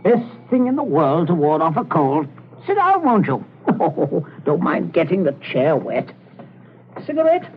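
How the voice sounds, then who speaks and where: A smooth, scheming father in a vintage radio thriller. His highish voice swoops theatrically between courteous charm and command, through a thin, scratchy recording. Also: wildly swooping pitch, a little slow. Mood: falsely genial. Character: domineering, suavely genial, scheming English father. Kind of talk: 1940s radio suspense acting. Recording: scratchy, narrow-band 1940s radio recording.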